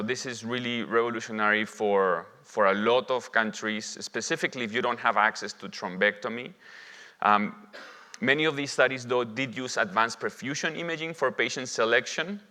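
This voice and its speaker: Bright-voiced man lecturing in a reverberant hall. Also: medium pitch. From Lima, Peru. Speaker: physician presenting as a conference speaker. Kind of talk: medical conference lecture. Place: indoor conference hall at a symposium. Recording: reverberant hall, long echo.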